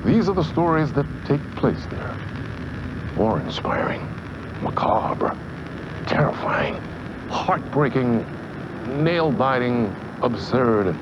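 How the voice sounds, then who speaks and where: A man plays an eerie TV anthology host, mysterious and theatrical. He introduces the show with ominous deliberation. The sound is dull, with very loud noise all around him. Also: medium pitch, very animated, slow pace, pauses often.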